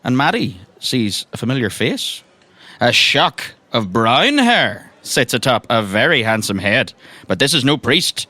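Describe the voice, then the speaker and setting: A man serving as game master, narrating a tabletop roleplaying scene. His pitch moves about a great deal, and the recording is close and very dry. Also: very animated, measured pace, bright, forceful tone, no echo.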